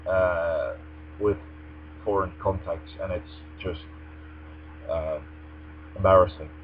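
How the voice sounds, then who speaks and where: A man's voice: low-pitched, fairly even intonation, takes it slowly. A young man speaking English in an articulate, formal way, as if for a personal vlog. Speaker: vlogger. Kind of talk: reading aloud and casual answers to a tag challenge. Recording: dull lo-fi microphone with mains hum.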